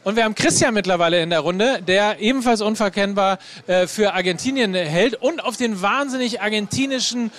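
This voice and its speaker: Male live-show host, high-pitched, jokey and quick with the banter. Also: a bit bright. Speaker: podcast host. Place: live event.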